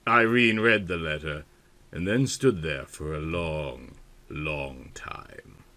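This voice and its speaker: A man's voice: a bass voice, slow pace, bright, forceful tone. A man narrating a story in a theatrical, comic reading style, with dramatic, emphatic phrasing.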